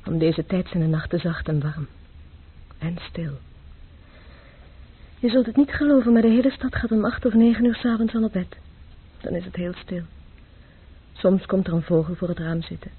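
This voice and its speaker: Wistful, very husky woman, phone-like. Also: lowish voice, moderate pace, pauses often. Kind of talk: radio play acting. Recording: muffled, phone-like old radio recording.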